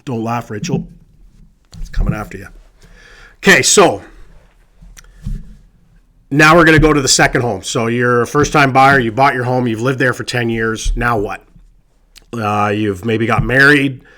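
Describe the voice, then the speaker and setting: A man talks through buying advice in a relaxed, conversational way, with plenty of "um"s and "you know"s. His voice is hoarse from a lingering cold. He sits at a mid pitch and sounds easygoing and reassuring. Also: a little slow, pauses often. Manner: conversational, chatty and reassuring, with many fillers. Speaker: real estate agent. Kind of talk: casual advice talk to camera. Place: indoors with a colleague present.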